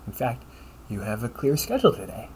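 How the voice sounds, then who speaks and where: Man acting as a lively AI caregiver companion, audible background noise. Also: medium pitch, a little fast, close to an everyday voice, poor audio. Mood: helpful, chatty. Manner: polite, chirpy, gently nagging, butler-like phrasing. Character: upbeat, teasing male AI caregiver companion, fussy and helpful. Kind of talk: scripted audio roleplay addressed to the listener.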